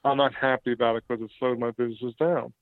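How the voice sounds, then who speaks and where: A man's voice: mid-range voice, flat delivery, fast, no echo. A man in his fifties calling in to a radio show, earnest and agreeable, laughing often. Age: 50s. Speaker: caller, former co-host. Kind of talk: casual phone-in chat. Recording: tinny phone line.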